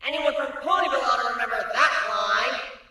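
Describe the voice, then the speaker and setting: Man doing a high, shrill, mischievous changeling pony. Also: fairly quick, sharp tone, exaggerated voice. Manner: gleeful, taunting. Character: gleeful male insectoid changeling pony, mischievous taunter.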